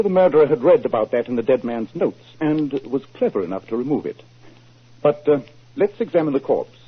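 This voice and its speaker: Muffled old radio drama, a sharp english detective, authoritative, very expressive pitch swings. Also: male voice, husky. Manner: deliberate, authoritative, deductive. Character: shrewd, self-assured male English detective, deliberate and incisive. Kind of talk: 1940s radio drama acting. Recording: muffled 1940s radio broadcast recording.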